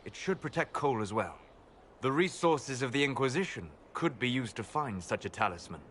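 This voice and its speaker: A man's voice: mid-range voice, expressive, fairly bright tone, slight background noise, lightly acted. Man acting learned male mage, formal, pedantic, lilting mid-range voice, faint ambience, game dialogue. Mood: thoughtful. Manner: precise, formal, measured. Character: scholarly male mage, composed, stern, pedantic.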